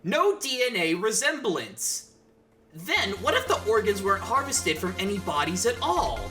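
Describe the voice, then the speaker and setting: A man's voice: sits high, sing-song, normal speed, over music, pitched above the performer's own voice. A man playing a hapless, brazen defendant who bluffs through a courtroom parody skit. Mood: bold, excited. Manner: flustered, bluffing. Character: hapless, brazen defendant arguing own case.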